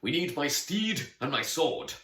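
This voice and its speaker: A man voicing an eager, excitable young girl hero in a children's storybook. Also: a little high, measured pace, reverberant, voice pushed up, full character voice.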